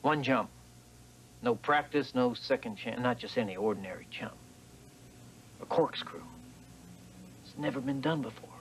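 An actor playing an intense stunt-show promoter in a TV-movie drama, in clipped, emphatic phrases. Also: male voice, moderate pace, pauses often, natural voice. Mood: emphatic pitch. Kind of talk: naturalistic TV-movie drama acting. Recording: film dialogue with faint rumble.

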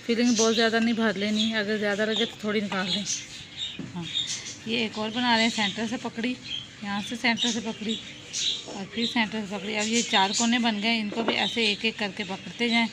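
Woman, calm instructive tone, home kitchen, noisy phone audio. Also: a little high, fairly quick. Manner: calm, instructive, talks while working with hands. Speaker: home cooking channel host. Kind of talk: step-by-step cooking demonstration. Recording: loud background noise, birds chirping.

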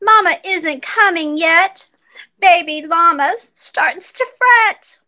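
A woman reading a children's picture book aloud with expressive, dramatic emphasis for young listeners. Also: very high-pitched, takes it slowly. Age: adult.

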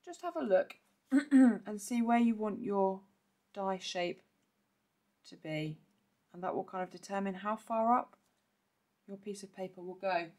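A woman with a croaky voice, chatting through a step-by-step card-making tutorial and clearing her throat now and then. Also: medium pitch, measured pace, pauses often, warm tone. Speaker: papercraft demonstrator. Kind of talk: casual step-by-step craft tutorial.

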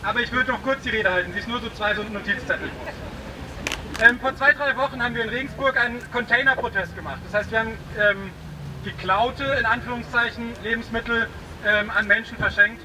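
A man's voice: extremely high pitch, a little fast. A man giving an informal speech through a megaphone at a street protest, with many hesitations. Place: street blockade outdoors. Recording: distant outdoor recording with street noise.

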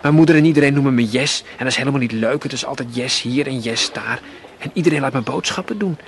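Male narrator voicing a ragged little girl, eager and chattering, pleading, pitched well above his own voice. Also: quick pace, slight background noise, lightly acted.

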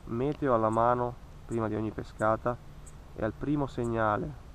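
A man's voice: mid-range voice, fairly even intonation, moderate pace, slight background noise, rough recording. A man in his thirties narrating a fishing vlog in an earnest, cautionary way, repeating words for emphasis. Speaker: fishing vlogger. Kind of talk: reflective voice-over narration. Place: outdoors by a lake among trees.

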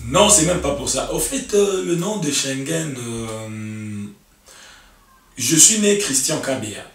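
A man's voice: medium pitch, expressive, slow. A young man from Congo, a musician guest in a casual interview, talking animatedly with many hesitations, filmed on a sofa in a living-room set. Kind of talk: casual interview in French mixed with Lingala. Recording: echoey room, mic at a distance.

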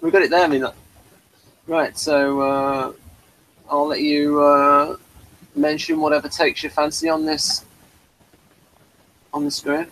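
A man's voice: on the high side, level tone, slow pace, pauses often, fairly bright tone, low-quality recording. A man hosting a casual review chat, explaining things in a rambling way.

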